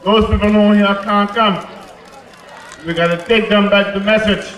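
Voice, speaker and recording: A man's voice: high voice, fairly even intonation, normal speed, a bit bright, slight background noise. A man acting as emcee at an outdoor political rally, earnestly addressing the crowd and introducing the next speaker. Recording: echoey and far off, with crowd and music.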